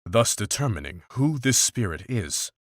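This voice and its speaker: A man playing a stern, pragmatic older monster hunter in a fantasy visual novel, speaking in a formal, measured, unsentimental way. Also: fairly low pitch, very animated, dry recording, clean studio sound. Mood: measured, instructive.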